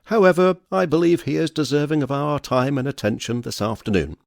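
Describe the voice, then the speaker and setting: Actor as a gravelly, dignified older Victorian knight. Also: male voice, a little high, brisk, dry recording, studio quality. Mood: formal, measured. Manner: formal, oratorical, courteous. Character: dignified older Victorian knight, courteous, measured committee member. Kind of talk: theatrical period audio drama acting.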